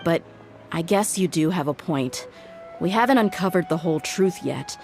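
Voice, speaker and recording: A woman's voice: animated, normal speed, darkish tone, soft background music. A woman voicing a blunt, tough female mercenary in a video game, speaking firmly and plainly. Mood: troubled. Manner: blunt, firm, low-key.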